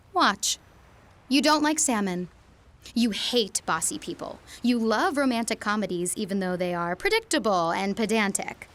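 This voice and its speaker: A woman's voice: medium pitch, natural voice. A young woman playing an emotional teenage girl speaking an intimate monologue to her boyfriend in a radio drama. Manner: intimate monologue, swings from quiet to pleading.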